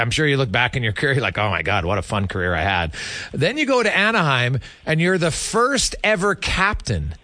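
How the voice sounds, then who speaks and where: A man's voice: on the high side, pushed and bright, dry recording. A man hosting a casual radio sports interview, asking questions in a chatty, informal way.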